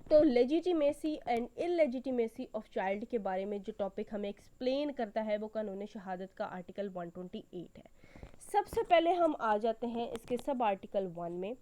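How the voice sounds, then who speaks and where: A woman's voice: fairly high pitch, expressive, a little slow, mellow, rough recording. A woman with a Pakistani accent giving an explanatory law lecture in a didactic way, repeating key points and checking in as she goes. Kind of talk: explanatory lecture, Hindi-Urdu mixed with English.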